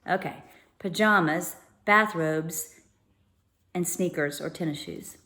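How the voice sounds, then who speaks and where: A woman's voice: on the low side, pushed and bright. A woman storyteller narrating a children's book, slipping into informal explanatory asides. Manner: pauses to explain unfamiliar words informally. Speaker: read-aloud storyteller.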